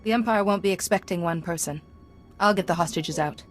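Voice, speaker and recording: A woman playing a selfless, composed Jedi hero in a video game, speaking in a measured, resolute way. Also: lowish voice, lightly acted. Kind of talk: cinematic video game voice acting. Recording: music behind the voice.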